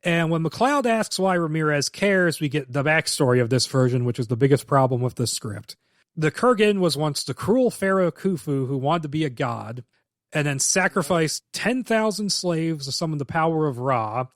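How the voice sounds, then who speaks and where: A man's voice: fairly high pitch, expressive, normal speed, dry recording, clean studio sound. A man co-hosting a podcast, recapping a film script plot in detail and giving blunt, opinionated takes with casual swearing. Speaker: podcast co-host.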